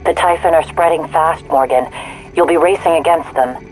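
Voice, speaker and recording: Game AI operator male actor: slightly high, measured, matter-of-fact guide, light music bed. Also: a little mellow, natural voice, low-quality recording. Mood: composed, instructive. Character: composed artificial intelligence robot operator guiding the player. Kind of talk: video game guide dialogue.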